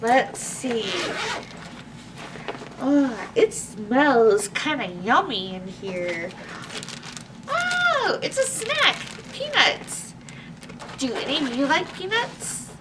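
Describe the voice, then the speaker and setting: A woman presenting a storytime for young children, reading aloud and chatting to them in an animated, exclamatory way. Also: sits high, drawn-out words, pauses often. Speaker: library storytime host. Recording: distant microphone with faint background noise.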